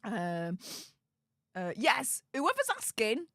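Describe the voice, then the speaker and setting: A chatty woman with a Yorkshire accent hosts a livestream and reads out her viewers' comments. Her voice sits a touch high and animated, and it sounds dry and close, with no room behind it. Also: slow, no echo. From Yorkshire, England. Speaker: YouTube host reading live chat. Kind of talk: casual livestream chat.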